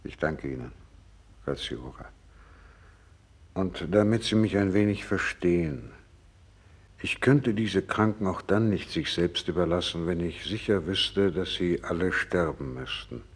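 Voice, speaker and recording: An actor in a 1960s radio drama playing a gravely ill, devout doctor, husky and creaky, speaking slowly and solemnly with resigned fervour. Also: male voice, low-pitched, expressive, full character voice. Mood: grateful, resolute. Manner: slow, solemn, resigned, fervent. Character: gravely ill, devout, selfless male Japanese doctor nearing death.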